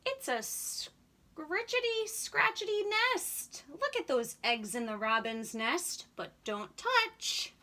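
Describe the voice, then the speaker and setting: A woman reading a picture book aloud to young children in an animated, sing-song, expressive way. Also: high voice, slow.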